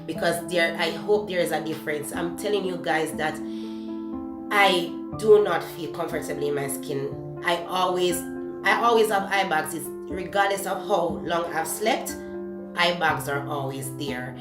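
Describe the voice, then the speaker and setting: Brief: young Jamaican woman, lowish voice, candid beauty vlogger, searches for words, kitchen room echo. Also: a little slow. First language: Jamaican Patois. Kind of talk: casual talk-to-camera beauty vlog. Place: home kitchen, indoors. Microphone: camera close to the face. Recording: echoey kitchen with background music.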